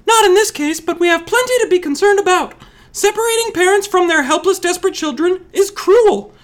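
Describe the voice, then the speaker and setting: Very high, animated female expert, acted by a woman, earnest, indignant, comedy explainer. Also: fairly quick.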